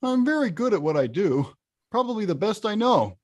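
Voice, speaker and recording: Big grumbling game villain with swooping pitch, acted out. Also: sing-song, moderate pace. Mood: begrudging, boastful. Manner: conversational, grumbling, bursts into bellows. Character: huge hot-tempered male arcade-game villain, weary, wry, self-pitying. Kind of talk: table-read acting, confessional voice-over.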